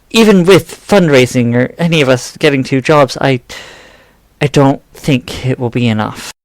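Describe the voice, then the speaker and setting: Guy playing friendly worried dude, kinda halting, average pitch, dry recording, audio drama. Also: gravelly, dry recording. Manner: hesitant, halting. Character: amiable, hesitant man, practical and worried. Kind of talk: fan audio drama acting.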